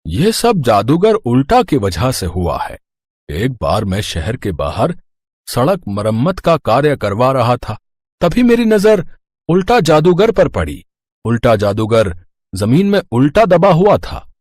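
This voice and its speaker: A man's voice: mid-range voice, wildly swooping pitch, unhurried, darkish tone, no echo, clean studio sound. A man voicing a worried, kindly older merchant and town elder in a children's cartoon story, explaining earnestly in a fatherly way.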